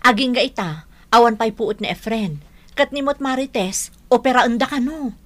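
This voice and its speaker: A woman's voice: fairly high pitch, moderate pace, close to an everyday voice. A woman acting an earnest, pious, gossipy Filipino village neighbour in an Ilocano radio drama. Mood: alarmed.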